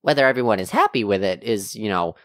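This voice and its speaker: A woman playing a polite, precise AI helper in a science fiction audio drama, speaking in formal, measured phrases. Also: very deep voice, expressive, no echo, studio quality. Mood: earnest, explaining. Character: polite, precise robot AI helper, a little unsure.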